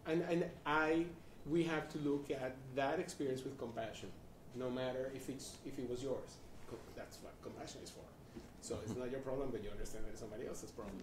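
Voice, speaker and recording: A man on a discussion panel speaking in a thoughtful, halting way. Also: mid-range voice, far from the mic, slight background noise. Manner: thoughtful, halting, restarts phrases. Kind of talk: reflective panel talk. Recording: roomy, from off-mic.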